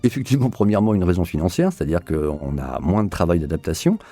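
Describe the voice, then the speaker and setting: A man's voice: low voice, lively intonation, quick pace, warm tone. A man talking reflectively in an interview as a director, explaining and rephrasing with repeats for emphasis. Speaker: audio drama director being interviewed.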